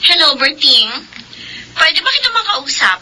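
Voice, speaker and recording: Vain scheming rich filipina girl, radio drama, swoopy and sharp, theatrical. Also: sits high, sing-song, unhurried, a little hiss or hum, full character voice, low-quality recording. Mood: composed, direct. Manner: gleeful, theatrical, haughty. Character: scheming, vain, mocking young rich woman.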